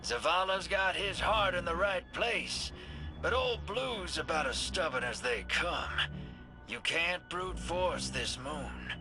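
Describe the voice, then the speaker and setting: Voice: mid-range voice, slow, music in the background, close to an everyday voice. An actor playing a breezy, cocky rogue in a video game, with a folksy, slangy, playful way of talking. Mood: easygoing briefing. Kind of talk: casual video game character acting.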